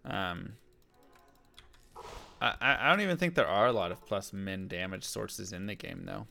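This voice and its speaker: A man with a deep voice casually talking to chat and muttering to himself while playing a game on a live stream. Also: normal speed, gravelly, bright, forceful tone, soft background music. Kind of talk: casual gameplay commentary.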